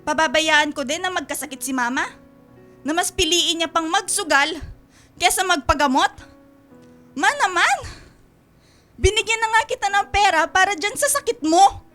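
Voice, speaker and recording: A woman's voice: very high voice, normal speed, pauses often, pushed and bright, soft background music. A woman playing a worried, reproachful adult daughter in a Filipino radio drama, pleading and accusing with open emotion. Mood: exasperated, reproachful.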